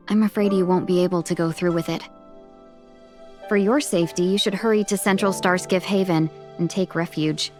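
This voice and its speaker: A woman's voice: fairly low pitch, lightly acted. A woman playing a courteous, playful young fox-tailed merchant in a video game, speaking with polite, coaxing formality. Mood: gracious, reassuring. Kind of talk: English video game voice acting.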